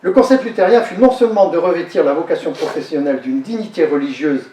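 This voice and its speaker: A man giving a lecture to an audience, pausing and repeating words as he explains. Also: fairly high pitch, mellow. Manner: halting, repeats words and restarts while explaining. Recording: echoey hall, speaker far from the mic.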